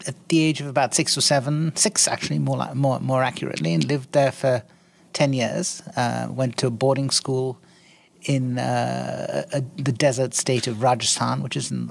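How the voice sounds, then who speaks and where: A man in his sixties from India, a guest in an interview, speaking in an articulate, measured way with frequent ums and restarts. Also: medium pitch, steady tone, vocal fry. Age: 60s. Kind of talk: interview conversation.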